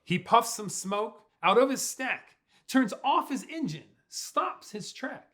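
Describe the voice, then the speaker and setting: A young man reads a picture book to children. His voice sits high for a man and swings widely in pitch. He takes his time, stretching words out in a playful, expressive style. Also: takes it slowly. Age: young adult. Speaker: pastor reading to children. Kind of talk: animated children's storybook read-aloud. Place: small furnished room indoors.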